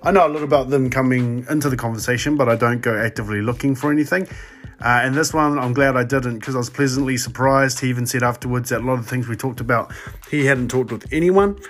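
A New man hosting a podcast, relaxed and slangy in how he talks. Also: mid-range voice, quick pace. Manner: relaxed, slangy, says bro. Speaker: podcast host. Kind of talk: casual podcast interviewing.

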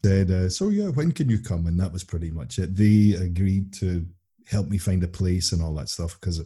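A man in his forties with a very dark, warm tone and a husky texture. He speaks in a laid-back, reflective way and keeps his voice soft. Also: sits low, expressive, moderate pace. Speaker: interview guest. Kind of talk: casual interview chat.

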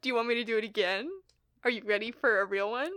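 Bright, giggly young woman. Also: on the high side, fast, no echo, studio quality. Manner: giggly, laughs often, animated. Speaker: podcast co-host. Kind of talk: casual chat.

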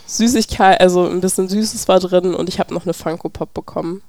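A young adult woman co-hosting a podcast in relaxed, casual conversation. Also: fairly low pitch, brisk, no room sound. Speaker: podcast co-host. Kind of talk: casual chat.

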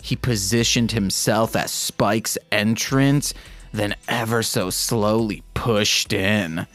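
A man hosting a podcast, reading fan fiction aloud in an irreverent way and breaking off into casual, joking asides to listeners. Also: on the low side. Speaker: podcast host.

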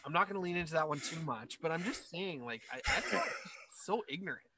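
A man's voice: on the high side, expressive, fast talker, background noise. A man hosting a casual interview, rambling and joking at himself. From Scotland. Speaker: host.

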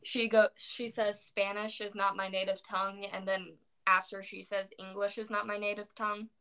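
Tired young woman with a creaky voice. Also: mid-range voice, little pitch movement, moderate pace, a bit bright. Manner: rambling, many fillers, self-corrections. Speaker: co-host discussing poetry. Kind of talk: casual chat.

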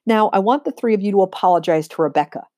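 A woman voicing an exasperated, wry female schoolteacher from a storybook, firm and measured as she lectures. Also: medium pitch, dark tone, no room sound, studio quality, close to an everyday voice. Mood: stern.

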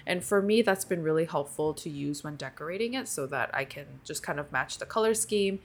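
A woman's voice: low voice, expressive, brisk, a little hiss or hum. A young woman co-hosting a podcast, born in Korea with Korean as her first language, chatting casually and explaining in detail with lots of "um"s. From South Korea. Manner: explains in detail with many fillers. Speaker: podcast co-host. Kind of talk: casual chat.

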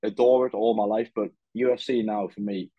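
A man's voice: on the low side, steady tone, normal speed, breathy edge, no room sound. Laid-back young man, muffled call. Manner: laid-back, lots of "yeah, man", swears casually. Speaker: podcast host. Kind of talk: casual fight-recap chat. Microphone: video call.

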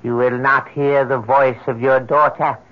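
An actor in an old radio horror drama playing a small, eerily courteous supernatural tempter, measured and insinuating. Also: male voice, medium pitch, level tone, phone-quality sound, close to an everyday voice. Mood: sly, insinuating.

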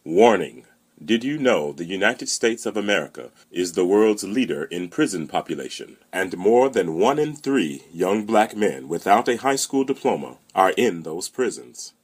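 A man with a deep voice delivers a scripted warning-style announcement. His intonation swings widely, and each phrase is placed deliberately and emphatically. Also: sing-song.

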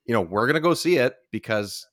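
A man's voice: medium pitch, expressive, a little fast, a bit bright, no echo, clean studio sound. A man chatting casually on a podcast in a rambling, emphatic way, often repeating himself.

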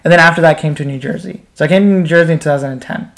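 Higher-voiced young American man. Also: fairly even intonation, racing, vocal fry, a bit bright. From United States, Pakistan, Bangladesh and Qatar. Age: 20s. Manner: informal, uses frequent "like" and "right?" tags. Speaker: interview guest. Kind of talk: candid personal storytelling.

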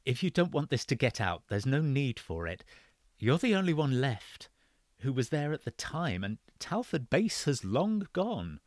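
An earnest adult son speaks directly and plainly, as a man reads him. The voice is slow and dry, close to the mic. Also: mid-range voice, sing-song, dry recording, voice pushed up.

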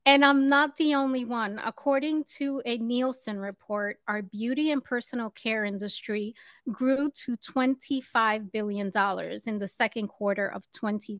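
A woman's voice: on the high side, no echo. Upbeat woman host, dry close mic. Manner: warm, upbeat greeting of "loves". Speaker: podcast host. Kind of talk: interview host, scripted intro then chat.

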